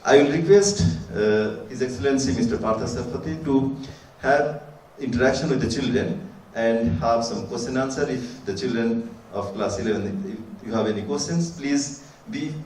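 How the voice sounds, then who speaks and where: A man gives an earnest, formal address to a school audience, restarting his phrases and filling pauses with "uh." His pitch sits in a normal middle range. He is heard from a distance in a reverberant hall. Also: darkish tone, slight background noise. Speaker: speaker at a school ceremony. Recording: echoey hall, voice from far off.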